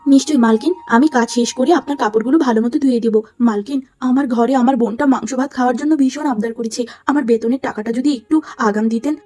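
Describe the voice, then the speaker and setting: A woman voicing a humble, long-suffering poor young woman in a cartoon, gentle and plaintive. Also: fairly high pitch, fast talker, few pauses, close to an everyday voice. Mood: apologetic, pleading. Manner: plaintive, pleading.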